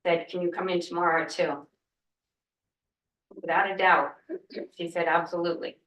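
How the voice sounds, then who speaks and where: A woman's voice: on the low side, steady tone, moderate pace, a bit bright. A middle-aged woman speaking earnestly during public comment at a board meeting, pleading her case. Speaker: public commenter, cafeteria worker. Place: large meeting room. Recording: echoey room, voice from far off.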